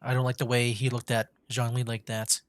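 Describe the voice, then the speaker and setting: A man's voice: mid-range voice, monotone, brisk, no echo. A man streaming a video game with casual, tentative reactive commentary, thinking aloud. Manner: musing, tentative.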